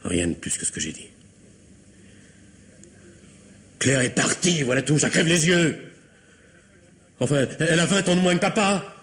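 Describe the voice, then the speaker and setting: An actor playing a guilty young son in a vintage French radio drama, cornered, halting and insistent as he pleads and defies. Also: a little high, expressive, a bit bright. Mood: defiant. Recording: faintly noisy old radio recording.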